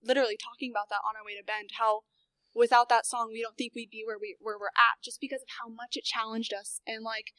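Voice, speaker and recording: A teenage girl from a high school a cappella group, answering interview questions earnestly with fillers. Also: level tone, quick pace, fairly bright tone, dry recording. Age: teens.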